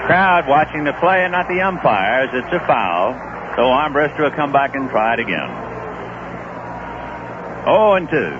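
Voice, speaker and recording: A man calling a baseball game live on radio, with relaxed, conversational play-by-play and chatty asides. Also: medium pitch, a little slow, long pauses. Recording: dull old radio broadcast with noise.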